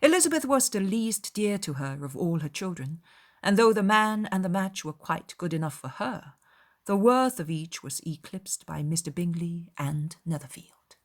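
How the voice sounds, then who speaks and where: A woman reading a classic novel as scripted audiobook narration, in a formal, measured storytelling manner. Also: on the low side, animated. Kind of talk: scripted literary narration, dramatized.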